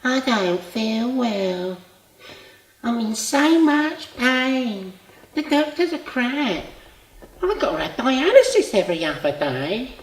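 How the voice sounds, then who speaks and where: A man voicing a whinging, self-pitying sick schoolmate, whiny and drawn-out, within a stage monologue. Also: extremely high pitch, big pitch swings, pitched above the performer's own voice, full character voice. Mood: whinging, pained. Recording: echoey room.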